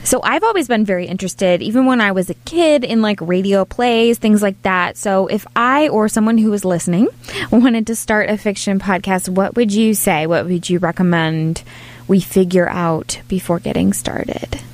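A woman's voice: mid-range voice, moderate pace. A woman interviewing a guest conversationally as a podcast host.

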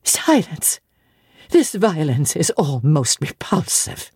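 A stern middle-aged aunt gives cold, clipped orders , as a woman narrator voices her. Her voice is low, dark and husky, and it sounds dry and close. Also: sing-song, slow, no room sound, full character voice. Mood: furious. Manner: peremptory, cold and commanding.

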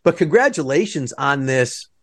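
A man's voice: fairly high pitch, animated, moderate pace, fairly bright tone. A man hosting a theater podcast, enthusiastic and rambling as he introduces his guests. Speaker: podcast host. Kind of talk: casual interview.

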